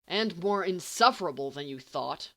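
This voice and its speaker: A woman's voice: lowish voice, animated, sharp tone. A woman playing an exasperated, bookish teenage schoolboy in an audiobook, grumbling and dryly sardonic. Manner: grumbling, sardonic, halting when flustered.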